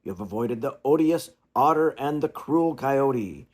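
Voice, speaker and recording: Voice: mid-range voice, expressive, measured pace, husky. A reader doing a pompous old father swan. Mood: lecturing. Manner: pompous and long-winded.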